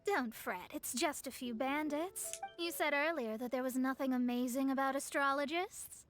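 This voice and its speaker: A woman's voice: high-pitched, normal speed, soft background music, close to an everyday voice. An actress voicing a proud, confident young female astrologist in a video game, speaking with poised self-assurance. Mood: self-assured.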